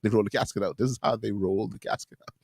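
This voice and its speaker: A man co-hosting a radio talk show, chatting casually with quick, animated banter and frequent laughter. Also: on the low side, vocal fry, breathy edge, dry recording, studio quality. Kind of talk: casual chat. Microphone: studio microphone.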